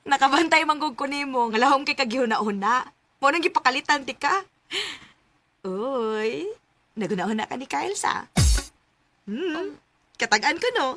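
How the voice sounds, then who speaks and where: A bright, teasing young best friend. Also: female voice, high-pitched, expressive, normal speed, pauses often, soft background music, heavily acted. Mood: playful teasing. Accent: Filipino. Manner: teasing, exclamatory. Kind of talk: Cebuano radio drama acting. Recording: faint background noise.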